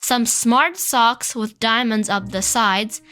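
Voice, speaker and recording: A woman reading a children's picture book aloud, slow, clear and expressive. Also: fairly high pitch. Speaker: storybook reader. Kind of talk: read-aloud narration for children. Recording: faint background music.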